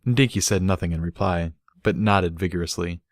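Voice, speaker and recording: A man's voice: low-pitched, moderate pace, dry recording, studio quality. A man reading a scripted story aloud as an audiobook narrator.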